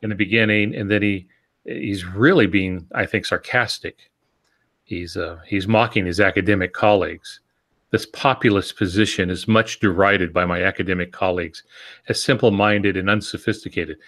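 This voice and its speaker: A man's voice: low-pitched, steady tone, moderate pace, fairly bright tone. A man co-hosting a podcast in casual conversation, reflective and folksy, feeling his way through his thoughts. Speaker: podcast co-host.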